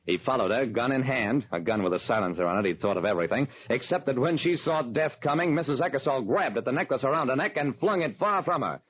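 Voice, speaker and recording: An actor playing a hard-boiled detective who recounts a case in terse, dramatic old-time radio narration. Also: male voice, mid-range voice, animated, fast talker, hardly any pauses, fairly bright tone, slight background noise, natural voice, poor audio. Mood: grim, matter-of-fact. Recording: vintage radio broadcast recording.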